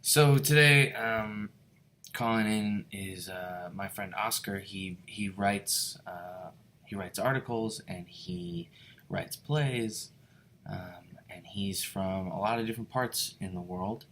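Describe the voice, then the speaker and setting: Man, podcast host, hesitant, bright tone, faint background noise. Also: lowish voice, slow. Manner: soft-spoken, hesitant, with many fillers. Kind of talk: casual interview.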